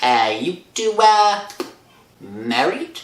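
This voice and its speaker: A woman's voice: fairly low pitch, very animated, reverberant, a little hiss or hum, heavily acted. A woman voicing a chatty, nosy policeman on night duty in an old-time radio thriller style. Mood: teasing. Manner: drawn-out, teasing, inquisitive.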